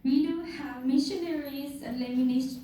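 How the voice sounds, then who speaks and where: A young woman from Thailand giving a personal testimony with slides, speaking slowly and earnestly with soft laughs, recorded from far off in a church hall. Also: high-pitched. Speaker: guest speaker. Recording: echoey hall from far off, faint noise.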